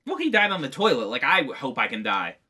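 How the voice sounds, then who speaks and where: Bright-voiced American man, crude comic banter. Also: medium pitch, huge pitch swings, quick pace, breathy edge. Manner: crude, jokey interjections. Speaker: podcast co-host. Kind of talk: casual comedic banter.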